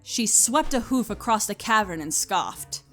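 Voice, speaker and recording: A woman's voice: mid-range voice, expressive, unhurried, bright, forceful tone. A woman reading scripted story narration as the narrator. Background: music.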